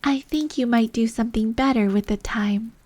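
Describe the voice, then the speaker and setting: A woman's voice: on the high side, moderate pace, dark tone, no echo, pitched above the performer's own voice, lightly acted. A woman voicing a polite, earnest, curious young girl in a storybook. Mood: weary.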